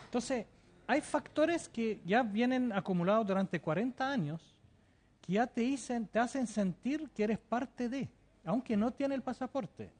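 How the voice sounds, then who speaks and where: A man's voice: very high voice, lively intonation, unhurried, darkish tone. A man giving a TV interview, answering fervently and insistently, often hesitating and repeating words. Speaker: interview guest living in the Emirates.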